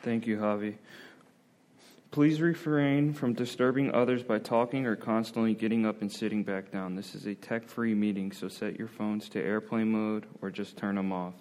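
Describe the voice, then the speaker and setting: A man's voice: on the low side, fairly even intonation, moderate pace, darkish tone. A man chairing a meeting, making plain announcements with some hesitant fillers. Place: meeting room.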